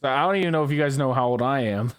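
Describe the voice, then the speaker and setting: A man's voice: mid-range voice, fast talker, clean tone. A man in his early twenties hosting a casual chat with friends, rambling, repeating himself and swearing freely. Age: early 20s. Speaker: podcast host.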